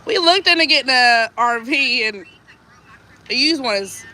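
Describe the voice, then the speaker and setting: A woman's voice: high voice, expressive, unhurried, bright, forceful tone. A woman with a stuffed-up nose, chatting and reading viewer comments aloud on a homesteading live stream. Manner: chatty, reads comments aloud, laughs easily. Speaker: homesteading vlogger.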